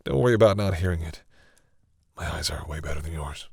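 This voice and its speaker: A man playing a proud vampire captor who is awkwardly softening, speaking hesitantly and condescendingly to the listener in an intimate audio roleplay. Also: a bass voice, a little slow, gravelly, breathy edge, dry recording, studio quality, heavily acted. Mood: instructive, stern. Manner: hesitant, sighing, wryly condescending toward humans.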